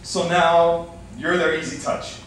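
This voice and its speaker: A man's voice: fairly high pitch, monotone, slow pace. A man playing a concerned, stern father in a staged play reading. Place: indoor room, live staged reading. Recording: echoey room, distant mic, faint noise.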